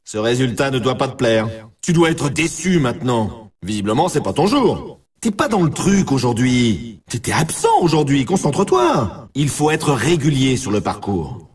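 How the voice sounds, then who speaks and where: A man's voice: mid-range voice, big pitch swings, moderate pace, few pauses, husky, recorded from a distance. A man playing a brash, teasing snowboard race announcer in a video game, with punchy scripted delivery. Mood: critical. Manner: punchy exclamatory delivery in short scripted lines. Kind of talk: scripted sports announcer lines with colloquial address.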